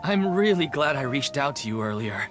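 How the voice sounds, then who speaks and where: Man voicing a tired townsman, mid pitch, swinging intonation, weary and relieved, game music behind. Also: sing-song, moderate pace, natural voice. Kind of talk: video game voice acting.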